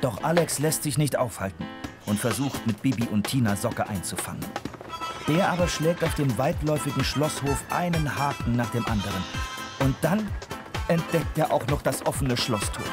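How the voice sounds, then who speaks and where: A man's voice: medium pitch, animated, normal speed, loud music behind the voice. A man giving scripted narration for a children's audio drama.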